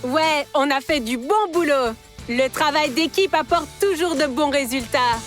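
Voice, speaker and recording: A sensible, encouraging child from a cartoon, high in pitch. Music plays clearly behind the voice. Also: female voice, unhurried. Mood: pleased. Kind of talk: French-dubbed children's cartoon acting.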